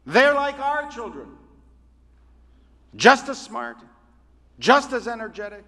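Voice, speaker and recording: A rabbi giving a sermon in a measured, oratorical way, with deliberate pauses. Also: male voice, very high-pitched, sing-song, pushed and bright. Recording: reverberant hall.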